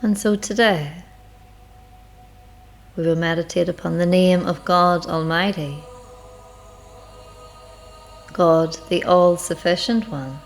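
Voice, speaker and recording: Slow, low-voiced woman leading meditation. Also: steady tone. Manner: unhurried, reflective, measured with long pauses. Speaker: guided meditation leader. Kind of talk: slow spiritual guided meditation narration. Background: music.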